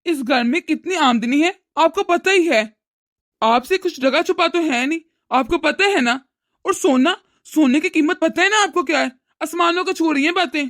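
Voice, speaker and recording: A woman voicing an exasperated, cash-strapped adult son who protests and reasons with his mother in an animated family drama. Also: extremely high pitch, no echo, studio quality.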